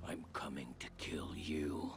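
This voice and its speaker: A man playing a defiant, vengeful warrior in a video game, gravelly and threatening. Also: extremely low pitch, little pitch movement, a little slow. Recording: music behind the voice.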